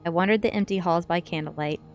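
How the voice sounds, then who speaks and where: A woman reading a first-person story aloud in a measured ASMR narration style. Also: fairly low pitch, soft background music. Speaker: streamer reading a novel aloud.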